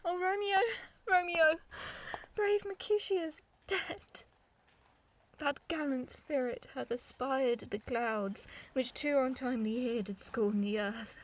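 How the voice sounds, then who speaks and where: A woman's voice: high-pitched, slow pace. A woman playing an anxious, loyal friend who witnesses a brawl, urgent and emphatic in a Shakespeare audio drama. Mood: alarmed, grieving.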